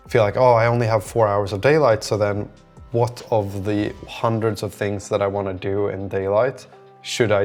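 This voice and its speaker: Low-voiced man thinking aloud, creaky. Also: a little mellow. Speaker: solo app developer vlogger. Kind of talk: unscripted casual vlog monologue.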